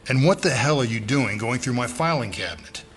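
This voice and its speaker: An actor plays an indignant senior lawman in an audio drama. He is blunt and demanding, with a tense, forceful edge to a mid-pitched voice. Faint music runs underneath. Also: lively intonation, a little fast, natural voice. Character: gruff, indignant senior male FBI boss.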